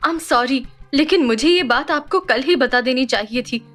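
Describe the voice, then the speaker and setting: An actress playing a composed, polite young woman doctor in an audio drama, measured and reassuring. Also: sits high, fairly bright tone, faint music behind. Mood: calm, professional.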